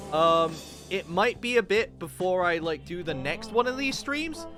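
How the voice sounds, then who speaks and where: A young man streaming a game, chatting casually in a rambling way with lots of fillers and restarts. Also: on the high side. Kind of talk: casual livestream chat while gaming. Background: game music.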